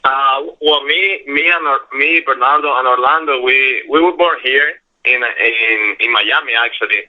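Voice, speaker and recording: A man raised in Ecuador, native Spanish speaker with an Ecuadorian accent, speaking haltingly and politely as a call-in guest. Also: mid-range voice, lo-fi sound. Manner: halting, polite, restarts often.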